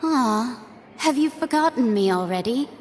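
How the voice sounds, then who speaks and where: A woman playing a mysterious, serene otherworldly guide in a video game, speaking in a measured, formal, enigmatic manner. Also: fairly high pitch, lively intonation, noisy background. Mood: calm, teasing.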